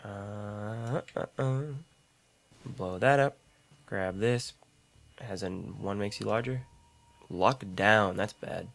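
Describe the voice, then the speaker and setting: Deep-voiced young man rambling over gameplay. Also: slow pace, vocal fry, pauses often, a bit bright. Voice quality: deep voice, mouth clicks. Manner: rambling, self-deprecating, chatty asides. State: slightly rough throat. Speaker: gaming YouTuber. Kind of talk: casual gameplay commentary. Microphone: large condenser studio mic.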